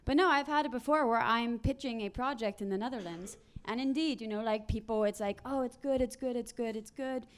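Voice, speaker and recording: A woman speaks on a conference panel in a fairly high voice. She tells her stories briskly and acts out the voices of the people in them. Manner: animated storyteller acting out quoted people.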